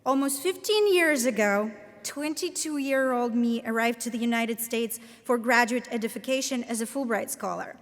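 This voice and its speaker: A woman in her thirties with a Latvian accent, giving a formal commencement address in a measured, earnest, deliberate way. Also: on the high side. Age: 30s. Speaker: commencement speaker, professor. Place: large ceremony hall. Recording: reverberant hall sound.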